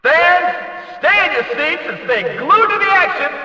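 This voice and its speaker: High-pitched, echoing, hyped race announcer. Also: male voice, animated, pushed and bright, exaggerated voice. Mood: excited, urging. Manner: booming showman delivery with drawn-out event names. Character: excitable, bombastic male demolition derby track announcer. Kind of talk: video game arena announcer calls. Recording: muffled echoing stadium PA, distant.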